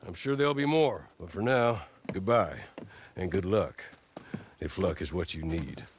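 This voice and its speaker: An actor playing a formal, polite go-between serving a mysterious mistress in a western video game, speaking with arch courtesy. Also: male voice, sits low, unhurried, slight background noise.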